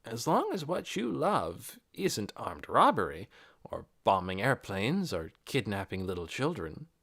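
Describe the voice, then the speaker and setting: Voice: mid-range voice, very animated, measured pace, a bit bright, husky, exaggerated voice. A narrator voicing a cautious, practical grown woman in an audiobook, skeptical and wry.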